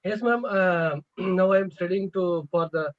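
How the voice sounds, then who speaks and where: A man's voice: high-pitched, measured pace, dry recording. A man in his thirties with a Tamil accent, a native Tamil speaker, practising English with a tutor on a video call, hesitant and polite with many fillers. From Tamil Nadu, India. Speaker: English learner. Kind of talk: online tutoring conversation. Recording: muffled lo-fi call audio.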